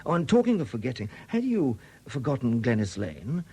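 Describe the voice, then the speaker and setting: Shrewd police detective, animated delivery. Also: male voice, medium pitch, slightly rough, exaggerated voice. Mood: accusing, probing. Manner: probing, deliberate, courteous but insistent questioning. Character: shrewd, relentless male police detective superintendent. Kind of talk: 1970s British radio drama acting. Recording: dated 1970s radio recording.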